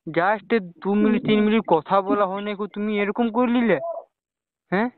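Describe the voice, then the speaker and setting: Young male caller, slightly high voice, casual and questioning, on a dry lo-fi phone recording. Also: moderate pace, a little hiss or hum. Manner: repeatedly checking with questioning tags. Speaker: caller talking to a romantic partner. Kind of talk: casual private phone conversation. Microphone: phone call. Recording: dull, lo-fi phone call recording.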